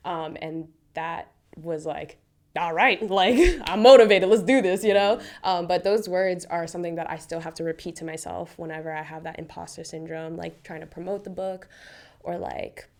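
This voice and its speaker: A woman's voice: lowish voice, normal speed. Laughing woman telling stories on a podcast. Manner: animated, laughs often, many "like" fillers; self-deprecating anecdotes with acted-out quotes. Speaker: guest, children's book author. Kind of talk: casual interview storytelling.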